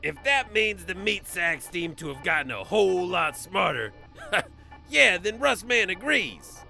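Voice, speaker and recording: A man voicing a gruff, cocky old drifter with a gravelly, folksy drawl, in a dramatized zombie horror comic. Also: sits high, sing-song, a little slow, heavily acted. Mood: wry, grumbling. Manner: drawling, speaks of himself in third person. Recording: loud music behind the voice.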